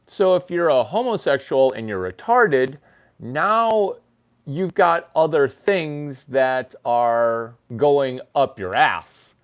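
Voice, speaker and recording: A man hosting his own channel, rambling through a crude comedy monologue in a mock-lecture manner with sudden jumps in pitch for emphasis. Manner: rambling, deadpan lecture-style bathroom humor. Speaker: channel host.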